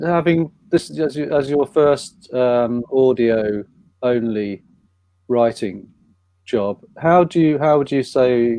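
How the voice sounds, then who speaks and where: A man chairing a live interview, putting questions in a halting way with restarts. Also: medium pitch, dark tone.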